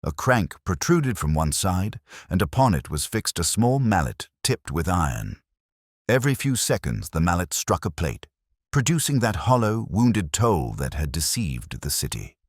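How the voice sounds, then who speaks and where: A man reading a Victorian detective mystery as a measured, literary first-person story. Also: deep voice, very animated, dry recording, clean studio sound. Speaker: audiobook narrator.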